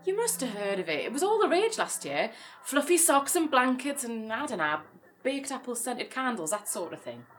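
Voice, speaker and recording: High-voiced, lively, coaxing sister. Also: female voice, normal speed, a little hiss or hum. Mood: eager, coaxing. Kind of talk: naturalistic audio drama acting.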